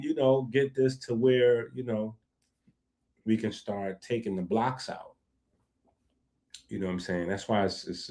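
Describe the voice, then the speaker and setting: Male host, low-pitched, casual riffing monologue with laughing asides. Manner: animated, laughing asides, conversational fillers.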